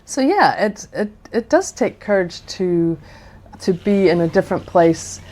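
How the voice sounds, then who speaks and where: A woman's voice: fairly low pitch, expressive, measured pace, darkish tone. Earnest Midwestern American woman in her forties. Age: 40s. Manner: earnest, thoughtful, with frequent restarts. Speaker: chaplain. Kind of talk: reflective interview conversation.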